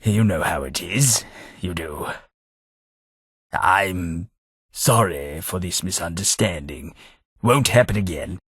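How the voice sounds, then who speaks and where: An actor playing a melancholy, formal old British former taxman in a video game, husky and measured in his wordy speech. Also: deep voice, expressive, fairly bright tone, no room sound, clean studio sound, full character voice. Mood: polite, hesitant. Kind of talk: video game character dialogue.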